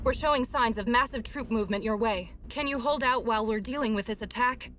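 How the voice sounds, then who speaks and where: A woman's voice: fairly high pitch, fairly quick, fairly bright tone, close to an everyday voice. A woman playing a composed, efficient military intelligence officer in a video game, giving businesslike briefings.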